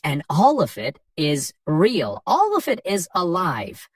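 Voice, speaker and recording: A woman narrates an English lesson for beginners in short, simple sentences, taking her time with each one. Her voice sits a little low but moves up and down with lively emphasis. She sounds set back from the mic in a dry space with no echo. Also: slow, slightly rough, far from the mic. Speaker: English teacher. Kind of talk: slow scripted lesson narration for learners.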